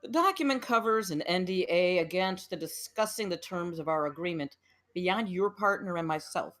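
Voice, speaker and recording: A woman's voice: fairly low pitch, lively intonation, moderate pace, fairly bright tone. A woman at a film table read playing a company director with a formal, businesslike manner.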